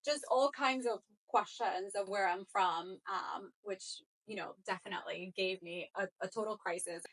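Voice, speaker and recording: A woman from Colombia who speaks Spanish natively, with a slight Colombian accent, co-hosting a recruiting show in a lively, open casual chat. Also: mid-range voice, moderate pace, low-quality recording.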